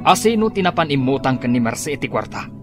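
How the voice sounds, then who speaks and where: A man voicing a strict middle-aged farmer father with an Ilocano accent, scolding his children over money. His voice swings widely in pitch and carries a gruff edge. Soft music plays behind this radio drama scene. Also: big pitch swings, fast talker, close to an everyday voice. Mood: angry.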